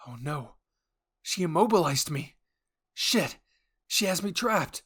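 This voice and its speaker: A man's voice: on the high side, expressive, takes it slowly, a bit bright, husky, voice pushed up, full character voice. A man playing a fearful young male Titan shifter in an anime roleplay, exclaiming frantically and panicking. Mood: terrified screaming. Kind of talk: anime fan roleplay acting.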